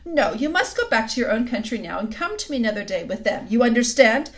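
Sly queen, coaxing and cold, read by a woman; high, lively voice. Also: fast talker. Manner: coaxing, silky, suddenly sharp. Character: sweetly scheming cold queen, tall and commanding.

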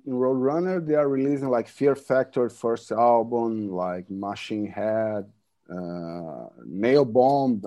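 A Brazilian man in his forties with a Brazilian Portuguese accent, chatting as a guest in an interview, halting and repeating words, laughing often. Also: mid-range voice, mellow. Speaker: band member, guest. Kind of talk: casual interview chat.

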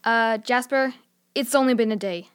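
A woman's voice: fairly high pitch, normal speed, smooth, natural voice. A female actor playing a sensible, curious young girl in a children's audio drama, earnest and frank in manner. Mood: reasoning.

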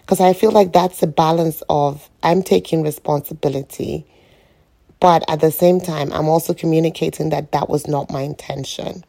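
A middle-aged woman with a croaky morning voice, speaking in a rambling, reflective way on an informal solo podcast. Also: sits low, steady tone, normal speed, mellow. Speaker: podcast host.

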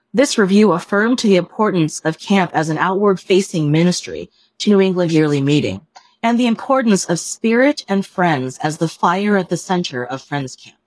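A woman reading a written report aloud as scripted narration, in a measured, formal manner. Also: lowish voice, no echo, low-quality recording. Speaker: reader of a written staff report.

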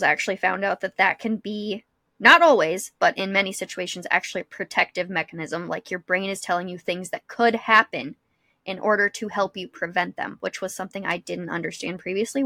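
A young woman with a bright, clear-cut tone, recorded very dry. She co-hosts a casual, reflective conversation and shares personal experience candidly, at a middle pitch. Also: animated, fairly quick, no echo. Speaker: podcast co-host.

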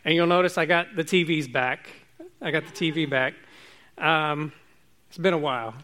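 A man preaching a relaxed, informal sermon to his congregation, chatty and self-joking. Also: a little high, normal speed.

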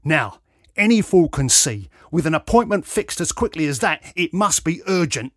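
A man performs a belligerent middle-aged bully for an audio story. The voice rasps and growls, raised in pitch with wide, lively swings, and is recorded dry. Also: moderate pace, dry recording. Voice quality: rasping, growling. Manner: belligerent, snarling. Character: aggressive rasping middle-aged male lout, snarling bully.

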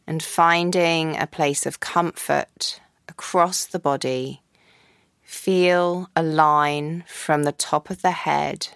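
A woman guiding a yoga nidra practice in a slow, soft, calm voice with long pauses. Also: low voice, clean tone, dry recording, clean studio sound. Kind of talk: guided meditation.

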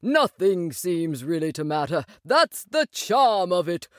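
A woman's voice: fairly low pitch, very animated, slow pace, no echo. A woman plays a cheerful male water rat from a storybook, easygoing and hearty. The delivery swings freely up and down, breezy and a little dreamy, in a close, dry recording. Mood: carefree, breezy. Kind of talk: animated storybook character reading.

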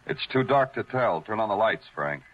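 A man's voice: on the low side, lively intonation, normal speed, lightly acted. A man acting a hardboiled, world-weary police detective in 1940s radio noir style, dry, terse and moody. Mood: dry, probing. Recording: muffled vintage radio recording.

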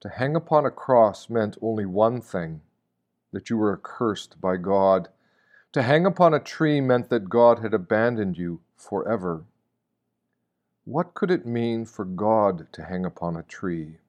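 Male reader for a Lenten meditation: grave, deliberate, unhurried delivery in a fairly low register. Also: animated, a little mellow. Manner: solemn, deliberate, with rhetorical questions. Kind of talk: scripted devotional reading, sermon.

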